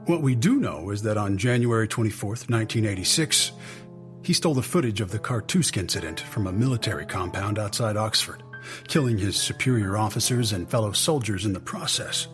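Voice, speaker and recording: An actor playing a matter-of-fact male intelligence agent in an audio drama, giving a measured, factual briefing. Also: on the low side, animated, soft background music, natural voice.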